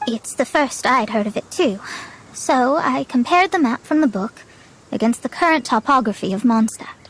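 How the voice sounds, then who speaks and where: A woman's voice: fairly high pitch, lively intonation, normal speed, breathy edge, rough recording. A woman voicing a proud, self-assured young astrologer in a video game, articulate and grandiose. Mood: explaining, assured.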